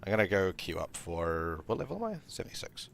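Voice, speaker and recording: Man, croaky, gravelly, bright tone, tired voice, rambling gaming stream chatter. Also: sits low, sing-song, quick pace, slight background noise. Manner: rambling, thinking aloud. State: tired voice from talking all day. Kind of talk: casual gaming livestream commentary.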